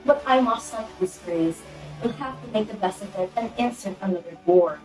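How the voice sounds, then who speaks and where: A woman's voice: medium pitch, normal speed, a little mellow, echoey room, distant mic, soft background music, close to an everyday voice, rough recording. A teenage girl playing a bossy, fussy society mother in an amateur one-act play. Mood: scolding.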